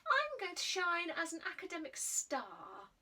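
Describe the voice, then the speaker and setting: A woman voicing an earnest, proper schoolboy who talks primly and turns shrill when he protests. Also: wildly swooping pitch, measured pace, bright, forceful tone, far from the mic, exaggerated voice. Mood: proud. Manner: prim and self-important, rising to shrill protest.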